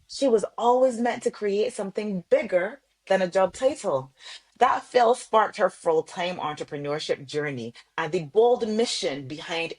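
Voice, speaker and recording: Upbeat low-voiced woman podcast host. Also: breathy edge. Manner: upbeat, emphatic, affirming. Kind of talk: scripted intro then casual interview.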